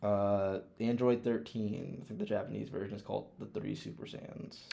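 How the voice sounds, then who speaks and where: A man talking casually as a podcast host, blunt and quick to swear as he gives his opinions. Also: on the low side, fairly even intonation, creaky, warm tone. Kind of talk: casual chat, ranking films.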